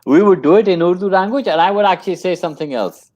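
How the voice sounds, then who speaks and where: A man's voice: high voice, fast talker, a little mellow, dry recording, muffled recording. A man working as a trainer, telling a story in a casual conversation. He speaks earnestly and often repeats his phrases. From Pakistan. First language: English.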